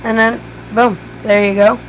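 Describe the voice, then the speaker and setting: A woman's voice: a little high, level tone, a little slow, slight background noise, low-quality recording. A woman who is losing her voice gives a casual step-by-step game tutorial, thinking aloud as she builds. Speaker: gaming tutorial creator.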